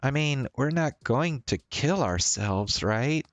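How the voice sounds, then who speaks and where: Man putting on a higher-pitched, dorky young woman. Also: expressive, slow pace, no room sound, dull audio. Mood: playfully worried. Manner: playful, casual. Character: quirky, dorky young woman with dark humor.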